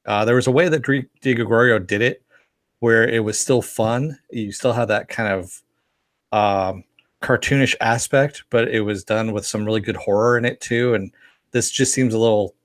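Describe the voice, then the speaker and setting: A man with a fairly low voice chats as a podcast co-host. He offers opinions haltingly and casually, feeling his way through them. The sound is dry, without echo. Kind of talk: casual TV review chat.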